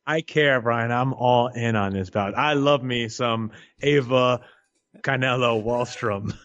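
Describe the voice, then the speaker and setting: A man's voice: medium pitch, takes it slowly, a bit bright, no room sound, muffled recording. A man co-hosting a boxing podcast, answering with sarcastic jokes. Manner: sarcastic, joking. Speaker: podcast co-host. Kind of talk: casual chat.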